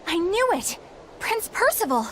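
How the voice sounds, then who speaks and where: A woman's voice: very high-pitched, very animated, unhurried, a bit bright, slight background noise, full character voice, poor audio. A woman voicing a polite, earnest young female exorcist with formal, courteous speech in a video game, over music.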